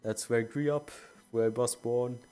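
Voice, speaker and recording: A man's voice: medium pitch, fairly even intonation, moderate pace, mellow, faint music behind. A young man streaming a game, chatting casually and rambling with many restarts. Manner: rambling, self-correcting. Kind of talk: casual chat while gaming.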